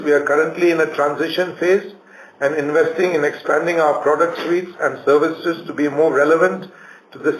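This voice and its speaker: Indian executive man in a roomy space. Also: fairly high pitch. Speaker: company executive on an earnings call. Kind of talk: prepared corporate presentation. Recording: roomy conference line with faint noise.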